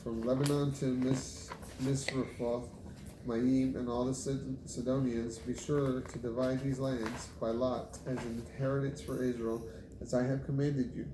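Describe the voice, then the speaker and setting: A man reading scripture aloud as a Bible reader, haltingly, stumbling over unfamiliar names. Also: mid-range voice, little pitch movement. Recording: echoey room with clear background noise.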